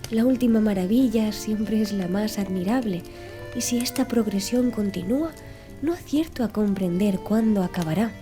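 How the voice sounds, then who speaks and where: A woman's voice: medium pitch, moderate pace, music in the background, natural voice. A woman voicing a polite, deferential young manservant in formal, courteous audiobook dialogue.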